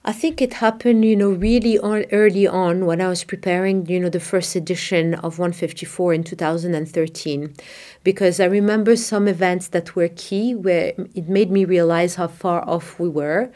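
A woman from Morocco with a lowish voice speaks in an interview about her path. She is middle-aged and reflective, and she often pauses on "you know" and restarts her sentences as she thinks aloud. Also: steady tone, mellow. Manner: thoughtful, many "you know" fillers and restarts. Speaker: art fair founding director. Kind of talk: conversational interview answers.

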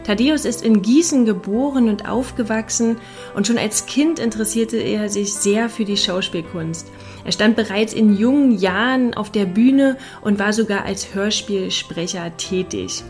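A woman's voice: mid-range voice, moderate pace, darkish tone. Woman hosting a podcast over music. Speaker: podcast host. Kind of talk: scripted podcast introduction and interview questions.

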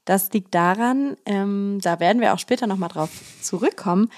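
A woman with a hoarse voice, co-hosting a podcast in relaxed conversation. She talks chattily, pokes fun at herself and often breaks into laughter. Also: medium pitch, moderate pace. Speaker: podcast co-host. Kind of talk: casual chat.

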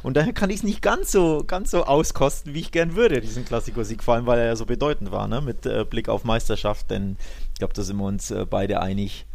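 A tired man co-hosts a football podcast in casual conversation. His pitch is average for a man, and his talk is informal and chatty. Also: brisk, creaky, a little mellow, a little hiss or hum. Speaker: podcast co-host.

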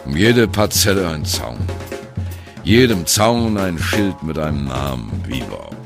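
An actor playing an ageing jazz musician who wryly and dramatically recalls his youth in a radio play monologue, with deliberate, colloquial phrasing and a gravelly voice. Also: a bass voice, big pitch swings, over music, full character voice. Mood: sardonic. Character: ageing male jazz musician, wry, reminiscing on postwar youth.

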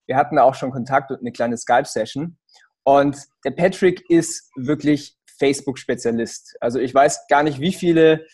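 A man's voice: a little high, a little mellow. A male podcast host who interviews his guest in an enthusiastic, casual way with English buzzwords mixed in.

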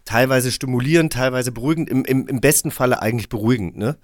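A male podcast host speaks at a medium pitch. His delivery is conversational and explanatory, recorded dry without room echo. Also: fast. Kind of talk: casual conversational hosting.

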